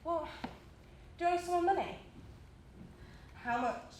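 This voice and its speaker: Actress as a high-voiced, concerned female workmate. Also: measured pace, a little mellow. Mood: gently probing. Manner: questioning, solicitous. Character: concerned, probing, awkwardly kind female workmate. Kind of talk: live stage play acting. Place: indoor stage, recorded live. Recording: echoey, noisy live theatre recording.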